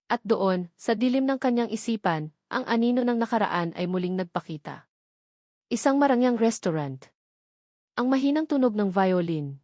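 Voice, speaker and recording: A woman's voice: mid-range voice, darkish tone, dry recording. Filipino woman narrating a dramatic story, dry sound.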